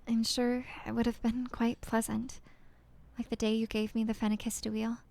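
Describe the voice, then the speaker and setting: A woman voicing a heartbroken young woman reading out her farewell letter in a halting, apologetic half-whisper. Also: on the high side, level tone, vocal fry, a little mellow.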